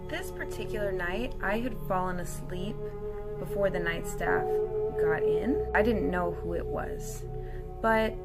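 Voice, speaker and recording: A woman hosts a personal story time with clearly audible music behind her. Her pitch is middling for a woman. She tells it with theatrical, playful flair and slips into informal, conversational asides. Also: unhurried. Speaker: storyteller host.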